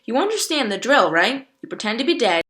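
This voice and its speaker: A woman's voice: fairly high pitch, sing-song, fairly quick, a bit bright. A woman voicing a blunt, exasperated and bossy female bird in a storybook.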